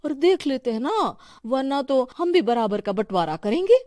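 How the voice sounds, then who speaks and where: Grasping daughter-in-law, done by a woman, high-pitched, dry sound, suspicious, accusing, cartoon. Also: very animated, normal speed, darkish tone, no room sound.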